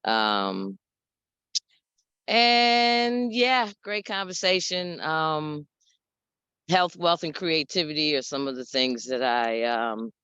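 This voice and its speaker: Woman, deep voice, New York accent, straightforward, unhurried, dry muffled audio. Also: lively intonation, sharp tone, clean tone, dry recording. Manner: straightforward, reflective, laughs between thoughts. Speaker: group participant. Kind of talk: casual sharing in a video call.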